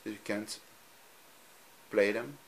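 A man from Belgium, a native Dutch speaker with a Dutch accent, talking off camera in a halting, simple way while he films his TV screen at home. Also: lowish voice, steady tone, creaky, a little hiss or hum, low-quality recording. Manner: halting, frequent ums, simple non-native phrasing. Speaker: hobbyist youtuber. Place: room at home, filming a TV screen.

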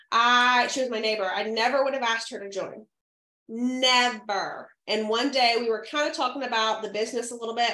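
A woman's voice: fairly high pitch, a bit bright. Woman in her 30s, kinda froggy from a cold, peppy coaching over webcam, roomy echo. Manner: upbeat, coaching, checks in with "right?" and "okay?". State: hoarse from a summer cold. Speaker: direct sales team leader. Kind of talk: training talk to a sales team. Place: home room, indoors. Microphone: webcam on a video call. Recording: echoey room.

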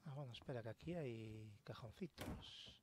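A man's voice: medium pitch, moderate pace, background noise. A man with a Castilian Spanish accent giving casual, sweary gameplay commentary, talking to himself and to game characters. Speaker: let's-play host. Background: sci-fi horror game ambience.

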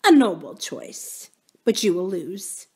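A woman's voice: medium pitch, sing-song, slow pace, mellow, breathy edge, heavily acted. A woman voicing a stern, cryptic old witch who gives grave, emphatic warnings in an audiobook story.

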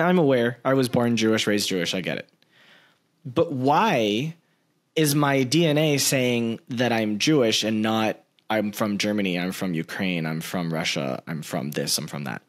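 A man in his thirties hosting a podcast, talking in an animated way into a large studio mic in a small room at home. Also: mid-range voice. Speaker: podcast host. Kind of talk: casual interview talk. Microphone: large studio mic with headphones.

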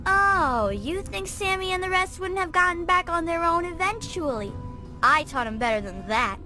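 A woman's voice: very high-pitched, lively intonation, normal speed, bright, forceful tone, faint music behind, full character voice. A woman playing a haughty, snappy, bossy young girl in a video game. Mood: haughty, scolding. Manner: snappy, imperious.

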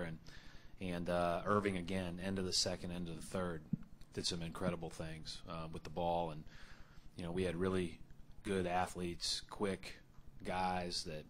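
A young man speaking as head coach at a postgame press conference into a table mic, measured and full of fillers and restarts. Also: sits low, slight background noise. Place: arena press room.

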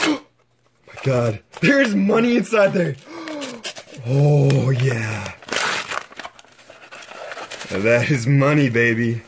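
A young man narrating his own exploration vlog, excitedly exclaiming over what he finds while filming up close with a handheld camera. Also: medium pitch, very slow, pauses often, noisy background. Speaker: exploration vlogger.

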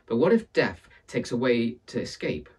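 Man as a worried, husky villager. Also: medium pitch, big pitch swings, moderate pace, recorded from a distance. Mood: concerned. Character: worried, pleading village folk, some old.

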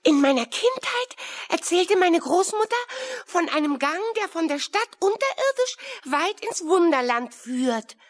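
A very high-pitched talking crow in a children's radio play. The delivery is shrill and cawing, swooping in pitch and slowly drawn out, chatty and given to cursing. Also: female voice, sing-song, exaggerated voice. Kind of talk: children's audio drama acting.

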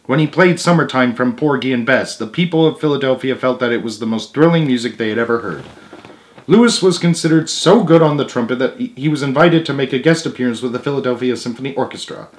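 A young adult man reads a children's book aloud as expressive narration with casual asides, recorded indoors in a living room with the camera a short distance away. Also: medium pitch, fast talker.

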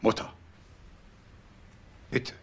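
An actor playing a loyal, pleading son and brother in a German crime radio drama. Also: male voice, extremely slow, a bit bright. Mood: pleading, upset.

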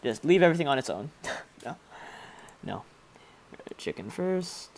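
Gravelly teenage boy with Polish accent. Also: a little high, very animated, a little slow, slight background noise. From Poland. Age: teens. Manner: joking, rambling, reads game lines in put-on voices. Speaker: gaming YouTuber. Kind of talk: casual let's play commentary.

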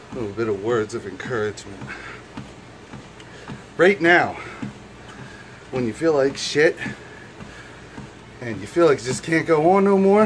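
Slow-talking tired man, noisy camera audio. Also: mid-range voice, a bit bright. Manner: plain-spoken, colloquial, mild swearing. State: tired from exercise. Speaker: fitness vlogger. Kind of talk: casual workout vlog talk to camera. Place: rooms at home and a home gym.

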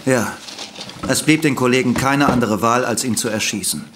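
An actor playing a helpful, matter-of-fact police officer in a German audio drama. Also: male voice, mid-range voice, normal speed, background noise, lightly acted. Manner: helpful, factual, discreet.

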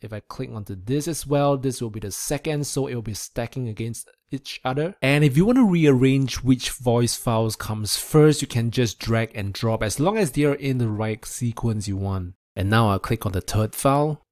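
A young man talking casually through a software review and demo, recorded on a close desk microphone. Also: medium pitch, moderate pace, hardly any pauses. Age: young adult.

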